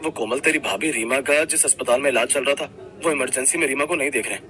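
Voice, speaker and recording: A man's voice: mid-range voice, fast, sharp tone, natural voice. A man voicing an earnest, fretful young brother and husband in a cartoon family story. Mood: worried.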